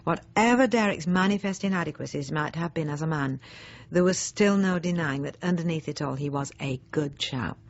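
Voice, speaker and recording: Radio monologue: snobbish middle-aged housewife, self-righteous and prim, husky with a creaky edge, on a slightly dull recording. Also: female voice, low-pitched, normal speed. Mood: disapproving. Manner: prim, pointed, self-justifying, with emphatic swoops. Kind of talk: radio drama monologue, confiding.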